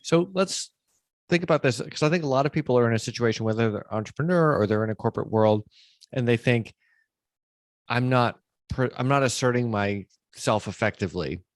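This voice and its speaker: A man's voice: mid-range voice, a little fast, dry recording. A male podcast host with a mid-range voice, recorded dry with no room sound. He interviews in a conversational way, talkative and working through his thoughts aloud.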